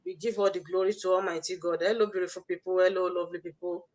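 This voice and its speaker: A woman's voice: lowish voice, fairly even intonation, fast talker, darkish tone. A woman with a Nigerian accent hosting a motivational livestream, exhorting listeners and repeating her phrases. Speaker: host, online business mentor.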